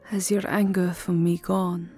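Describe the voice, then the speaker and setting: A woman playing a tender, lovesick vampire countess in an intimate ASMR roleplay, speaking softly and often dropping into a whisper, with formal, wistful endearments. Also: on the low side, level tone, a little slow. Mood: wistful, regretful. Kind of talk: ASMR roleplay, soft intimate romantic acting. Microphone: close microphone, dry. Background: music.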